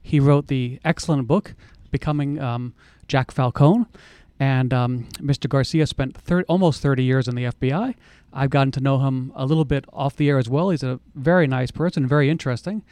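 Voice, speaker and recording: A man's voice: mid-range voice, brisk, darkish tone, no echo. A man hosting a radio interview program, polite and hesitant, with frequent fillers as he introduces and questions his guest. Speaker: radio show host.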